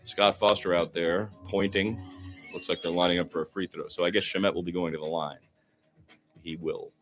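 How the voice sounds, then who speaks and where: A man commentates live basketball for radio. His voice sits fairly low and moves in short, clipped phrases, climbing when the action heats up. Faint music plays behind him. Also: fairly even intonation, normal speed, a bit bright.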